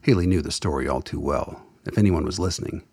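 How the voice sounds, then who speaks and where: A man giving dramatic scripted narration for a radio play in a husky voice. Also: extremely low pitch, fast talker, a little mellow, dry recording.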